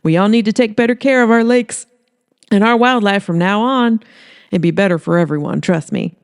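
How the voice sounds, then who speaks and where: A woman's voice: medium pitch, moderate pace, clean tone, voice pushed up, lightly acted. Woman putting on a feisty, flirty small-town gal. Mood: confident.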